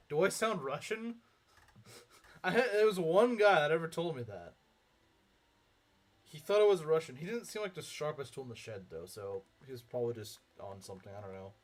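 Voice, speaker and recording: A man's voice: a little high, very animated, fairly quick. A young man streaming a game, chatting casually with viewers and rambling through his thoughts with lots of filler words and frequent chuckles. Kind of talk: casual live-stream commentary reading chat.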